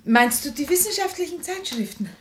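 Anxious, caring wife, roomy sound. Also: female voice, high-pitched, expressive, fairly quick. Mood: pleading, solicitous. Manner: solicitous, pleading. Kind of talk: 1950s German radio drama acting. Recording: roomy, hissy 1950s radio recording.

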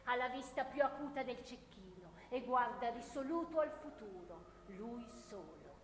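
A woman's voice: fairly high pitch, animated, close to an everyday voice. Woman acting a sardonic, sweeping orator in echoing hall. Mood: ominous awe. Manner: declamatory, ironic, deliberate pauses. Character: sardonic woman orator grandly praising hatred. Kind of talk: theatrical poetic monologue. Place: live radio theatre performance in a hall. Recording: echoey theatre hall, noisy distant recording.

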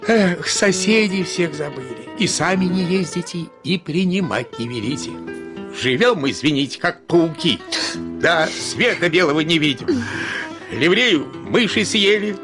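An elderly household servant in a radio drama. His voice sits high for a man, and he talks in a folksy, grumbling, coaxing way, like a devoted retainer scolding his mistress. Music plays clearly behind him. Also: lively intonation, measured pace. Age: older. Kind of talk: Russian radio play acting. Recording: studio radio play with background music.

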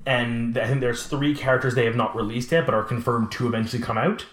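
A man in his late twenties chatting casually and talkatively, telling animated stories in a roomy, echoing space. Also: medium pitch, fast talker. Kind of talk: casual chat about collectibles. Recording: roomy, echoing room sound.

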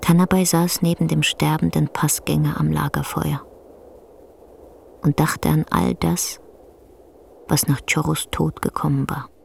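A woman playing the storyteller of a somber tale, narrating a radio drama in a measured, grave, literary way. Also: low voice, flat delivery, vocal fry, slight background noise, exaggerated voice. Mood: grave, hushed.

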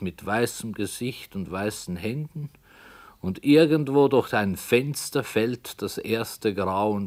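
A grave author narrating a dark story for a radio play. His voice is on the low side and he takes his time over every phrase. The delivery is solemn and measured throughout. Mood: solemn, hushed.

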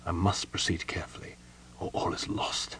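A man voicing a stern, embittered marshal in an audiobook, commanding and grimly forceful. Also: fairly low pitch, fairly quick, slight background noise, natural voice. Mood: careful, tense. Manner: barking orders, grimly forceful.

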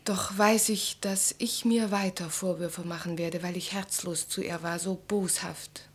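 An actress playing an anxious, self-reproachful, refined wife in a radio play, with a hushed, intimate delivery. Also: female voice, lowish voice, fairly even intonation, a little slow, breathy edge. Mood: guilty, pained. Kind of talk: German radio play acting.

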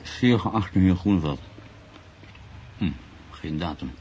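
Deep-voiced brooding writer played by a man. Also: darkish tone, natural voice. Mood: measured reading. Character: proud, brooding male newspaper writer, sceptical and easily unsettled. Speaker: actor. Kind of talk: radio drama acting, monologue and narration. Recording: radio play with clear background noise.

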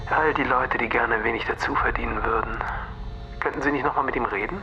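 An actor playing a polite, coaxing schemer in a radio crime drama, speaking persuasively. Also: male voice, medium pitch, steady tone, fast talker, dull audio, noisy background, natural voice. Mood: smoothly coaxing. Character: polite, coaxing male schemer pushing a deal.

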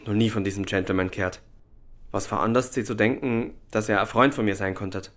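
A man acting an evasive young suspect in a German radio crime drama, deferential, halting and guarded as he answers. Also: lowish voice, fairly even intonation, fairly bright tone, lightly acted. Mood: guarded denial.

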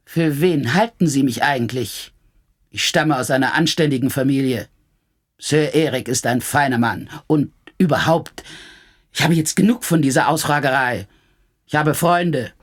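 A woman's voice: a bass voice, normal speed. An actress playing a defiant, secretive woman under police questioning in a German radio drama, guarded and indignant.

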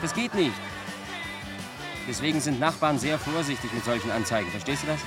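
An actor playing a condescending, pedantic young know-it-all who lectures in a German radio play. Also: male voice, medium pitch, lively intonation, brisk, lightly acted. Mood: lecturing. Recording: music behind the voice.

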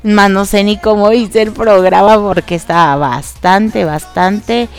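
A woman's voice: medium pitch, a little slow, faint music behind. A woman hosting an online radio show, nasal and congested from allergies, chatting casually with many fillers and giggles. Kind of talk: casual radio chat about K-dramas.

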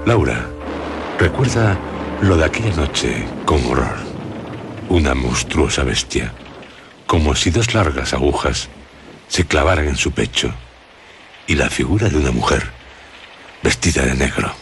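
An actor playing a grave narrator in a gothic radio drama, speaking with solemn suspense. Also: male voice, a bass voice, animated, a little slow, pauses often, background noise, full character voice. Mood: solemn, suspenseful. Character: grave male narrator questioning a haunted woman.

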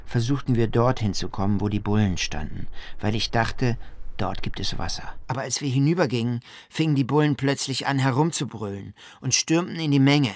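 A haunted man recounts a catastrophe in a radio drama monologue, performed by an actor. His sentences are short and stark, his pitch is mid-range, and the sound is dry and intimate. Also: a little fast, dry recording, natural voice. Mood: agitated, appalled. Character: shaken male survivor of a flood disaster, recounting it. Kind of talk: dramatic first-person monologue.

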